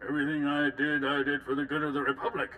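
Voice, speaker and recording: An actor playing a haughty, self-righteous senator in a video game, with an imperious, clipped delivery. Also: male voice, level tone, fast, bright, forceful tone. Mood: defensive. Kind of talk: video game cutscene acting. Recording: noisy, with low rumble.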